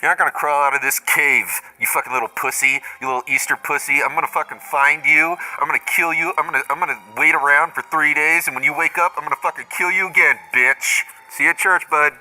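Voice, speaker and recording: A man's voice: a little high, steady tone, fast, hardly any pauses, bright, forceful tone, rough recording. A man playing an exasperated, blunt, foul-mouthed caller in an improvised comedy voicemail skit. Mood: angry, threatening. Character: exasperated, foul-mouthed man phoning his clingy friend.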